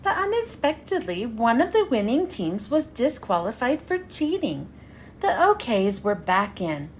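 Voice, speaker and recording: A woman reading a children's storybook aloud as a read-aloud host, with expressive, clearly phrased storytelling. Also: fairly high pitch, measured pace, a little hiss or hum.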